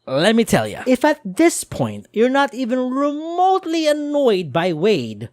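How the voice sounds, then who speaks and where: A woman's voice: high voice, wildly swooping pitch, a little slow, darkish tone, dry recording, clean studio sound. A woman giving a casual, sweary film review commentary in a sarcastic, exasperated, blunt manner.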